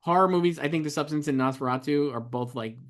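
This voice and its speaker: A man co-hosting a podcast, chatting casually in a rambling way, full of "like", and reading out listener emails. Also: on the high side. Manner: fast, rambling, heavy use of "like". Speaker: podcast co-host.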